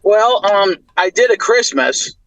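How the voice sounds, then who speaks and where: Rambling chatty man, slightly high voice. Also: steady tone, slow pace, creaky, fairly bright tone, muffled recording, a little hiss or hum. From US Midwest. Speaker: podcast co-host. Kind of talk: casual podcast chat.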